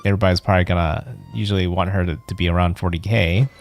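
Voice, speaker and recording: A man's voice: low voice, steady tone, very fast, soft background music. A man livestreaming a video game, chatting casually and thinking aloud in a rambling way. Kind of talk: casual chat while playing a game.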